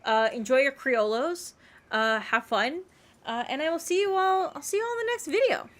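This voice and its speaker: A woman game streamer chatting casually to her viewers in a rambling, animated way with emphatic repeats. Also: sits high, normal speed. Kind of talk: casual livestream chat to viewers.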